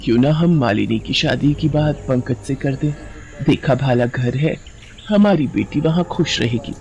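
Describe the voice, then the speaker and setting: A man voicing a practical, easily exasperated middle-aged mother in an animated story, with a matter-of-fact delivery. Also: mid-range voice, measured pace, highs cut off, slight background noise. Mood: persuasive.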